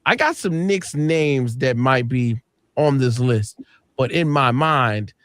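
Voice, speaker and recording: A man's voice: mid-range voice, expressive, slow, fairly bright tone, no room sound, studio quality. A man hosting a sports radio discussion, casually putting questions to his panel.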